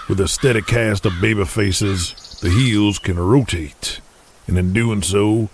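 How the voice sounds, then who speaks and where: An actor playing a gruff, shrewd wrestling promoter from Texas in an audio drama, with a folksy, deliberate way of speechifying. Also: male voice, lowish voice, slight background noise.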